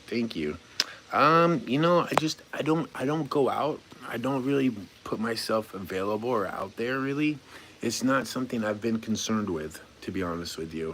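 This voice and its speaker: A man in his late forties with a somewhat low voice, talking easygoing and unscripted on a live stream. He reads viewers' comments aloud, then rambles through his answers in a goofy, relaxed way, chuckling now and then. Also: slight background noise. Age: 40s. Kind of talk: casual live-stream chat.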